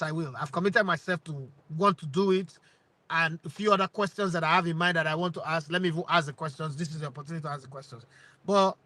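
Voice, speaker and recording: A man in his forties from Nigeria with a Nigerian accent, hosting his sports channel with lively rhetorical questions and bits of Pidgin. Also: high voice, no room sound. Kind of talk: opinionated talk to camera.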